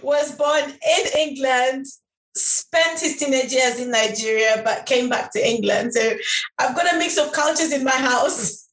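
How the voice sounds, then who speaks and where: A woman who grew up in Uganda speaks as a podcast guest. Her voice sits fairly high, and she talks her life story through in a thoughtful way, with many filler words. The sound is roomy and echoey. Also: unhurried, a bit bright. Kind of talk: conversational storytelling.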